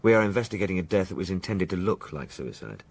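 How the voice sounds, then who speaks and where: An actor playing a blunt, steady police sergeant in a radio drama, questioning in a plain-spoken, probing way. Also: male voice, low-pitched, quick pace, creaky, husky, full character voice. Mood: firm. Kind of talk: British radio drama acting.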